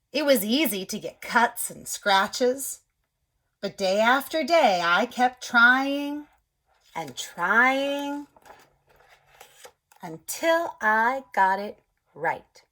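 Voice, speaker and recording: A woman's voice: fairly high pitch, exaggerated voice. A woman voicing a timid egg telling his story. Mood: hopeful. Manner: soft storytelling, whispers at scared moments. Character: young male egg, timid but hopeful.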